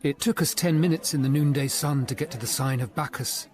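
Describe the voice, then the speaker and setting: An actor playing an earnest, educated Tudor lawyer-detective in a radio drama, speaking in a measured, formal way. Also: male voice, on the high side, over music, close to an everyday voice. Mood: grave, tense narration. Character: sober, educated Tudor lawyer-detective narrating his investigation. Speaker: narrator and lead character. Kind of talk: radio drama narration and dialogue.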